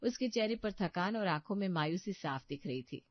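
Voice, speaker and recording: A woman's voice: mid-range voice, animated, moderate pace, dry recording, dull audio. A woman narrating a scripted story as a storyteller.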